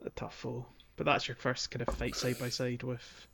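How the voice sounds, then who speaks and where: A man hosting a podcast, talking casually through a game walkthrough in a halting, explanatory way. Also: medium pitch, monotone, vocal fry, crowd noise. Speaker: podcast host.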